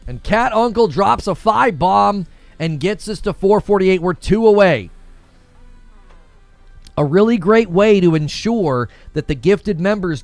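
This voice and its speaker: A man hosting a livestream in a casual, slangy, conversational way, reading out viewer messages and shout-outs and repeating himself for emphasis. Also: sits high, no echo. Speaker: livestream host.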